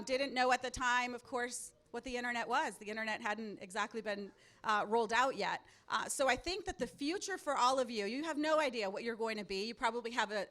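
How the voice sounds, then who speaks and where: A woman in her forties, from Mexico, moderating a panel and addressing a student audience into a microphone in a loud, upbeat, confident voice. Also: fairly high pitch. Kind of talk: public speaking to a student audience. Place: event hall at a panel table. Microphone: handheld or table microphone.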